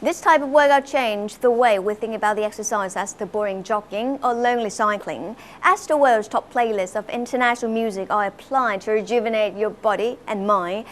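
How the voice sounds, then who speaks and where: A woman's voice: mid-range voice, brisk, few pauses. Female TV host, polite interviewer, filler-heavy questions, noisy background. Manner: polite, frequent "you know" fillers. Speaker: TV show host. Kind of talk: broadcast interview and scripted intro.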